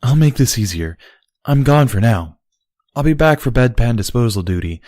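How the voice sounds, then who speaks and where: A man's voice: mid-range voice, animated, normal speed, darkish tone, no echo, clean studio sound, pitched above the performer's own voice, natural voice. A man voicing a defiant young female pony in an audio story, casual and plainspoken. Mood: brisk.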